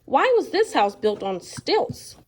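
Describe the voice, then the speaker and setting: Animated woman reading aloud to children. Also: high-pitched, takes it slowly. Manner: encouraging, repeats children's answers. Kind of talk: picture book read-aloud with questions.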